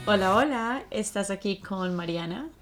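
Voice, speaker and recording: A woman's voice: mid-range voice, expressive, normal speed, over music. A woman in her late twenties from Colombia, with a Colombian accent, talking casually as a podcast co-host.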